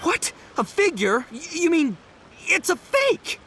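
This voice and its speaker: Brash, rowdy gang boss. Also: male voice, very high voice, takes it slowly, a little hiss or hum, heavily acted. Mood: excitable. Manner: loud, excitable, slangy. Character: brash boisterous young male gang leader. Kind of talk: game cutscene voice acting.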